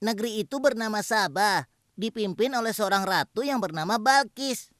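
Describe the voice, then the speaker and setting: A woman's voice: mid-range voice, expressive, normal speed, dry recording, studio quality, natural voice. A woman voicing an earnest, humble young hoopoe bird messenger in a children's cartoon, with an exclamatory delivery. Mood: humble, deferential. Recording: loud music behind the voice.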